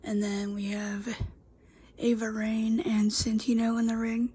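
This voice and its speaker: A woman's voice: a little high, flat delivery, a little slow, warm tone, breathy edge, dry recording. A woman vlogger with a congested voice, recapping a wrestling show in a chatty, rambling way with many fillers and restarts. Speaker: YouTube wrestling reviewer. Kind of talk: casual solo show recap.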